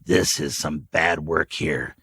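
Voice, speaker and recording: A man voicing a wry, cautious old man who talks in a dry, folksy, deliberate way. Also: very deep voice, steady tone, bright, forceful tone, breathy edge, pitched below the performer's own voice, full character voice. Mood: wry calm.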